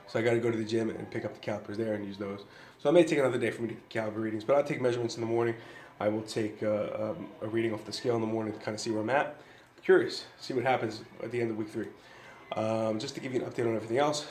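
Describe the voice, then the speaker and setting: A man's voice: mid-range voice, reverberant, a little hiss or hum. A man vlogging a casual fitness update, a bit nasal from a cold, loose and rambling with many ums and restarts.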